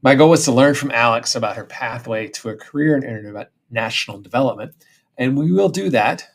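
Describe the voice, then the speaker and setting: A man hosts a university careers podcast, opening the show and then chatting with a graduate. His voice has a gravelly, creaky texture at a middle pitch. He asks questions in a friendly, conversational way, often restarting his sentences. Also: lively intonation, a little fast. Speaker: podcast host, associate professor. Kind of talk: podcast interview.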